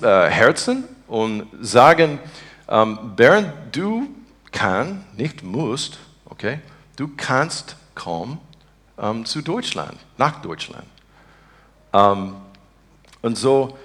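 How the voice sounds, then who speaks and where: A man from Texas with an American accent, a native English speaker, preaching and praying earnestly in halting, broken beginner's speech as he searches for words. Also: mid-range voice, a bit bright. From Texas, United States. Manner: earnest, devout. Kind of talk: informal sermon and spontaneous prayer.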